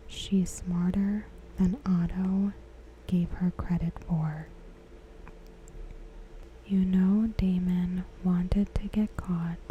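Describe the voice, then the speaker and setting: Asmr lady whispering real slow and soft, kinda low breathy voice, bit of noise behind her. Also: low-quality recording. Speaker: ASMR creator.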